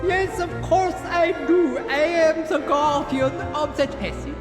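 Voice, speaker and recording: A haughty talking portrait, with a very high voice and drawn-out delivery. It echoes like a hall in this game scene. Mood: self-important. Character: slow, haughty talking portrait guarding a passage. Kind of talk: video game cutscene dialogue. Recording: echoey hall reverb under music.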